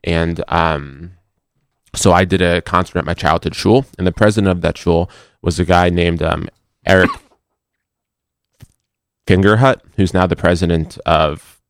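A young man speaks in a low, smooth, resonant voice as an interview guest. He answers in a halting way, restarting words and stuttering now and then. The sound is close and dry. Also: fairly even intonation, no room sound.

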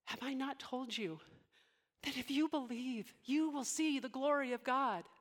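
A calm, authoritative male teacher, as a woman puts him on, speaking in measured, solemn tones. The voice stays fairly high and light. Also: big-room sound. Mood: solemn, gentle.

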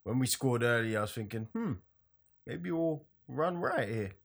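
A man's voice: medium pitch, expressive, normal speed, gravelly. A man co-hosting a podcast, giving a laid-back, rambling football recap with frequent laughs. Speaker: podcast co-host. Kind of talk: casual football talk.

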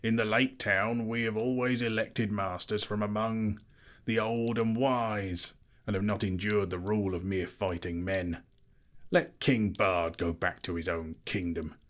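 A man voicing a wary, pompous old town master who speaks loud and clear in his own defence. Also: fairly low pitch, steady tone, a little slow, lightly acted. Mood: haughty.

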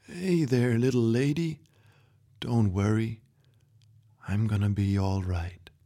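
A man voicing a frail, dying old grandfather who speaks softly, slowly and tenderly in a storybook reading. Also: lowish voice. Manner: soft, hushed, slow and tender.

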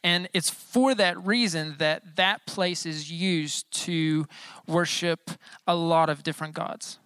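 A man's voice: fairly high pitch, fairly even intonation, slow, bright, forceful tone, smooth. A man in his thirties, a pastor giving a casual, joking sermon with many filler sounds. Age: 30s. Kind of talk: informal bilingual sermon.

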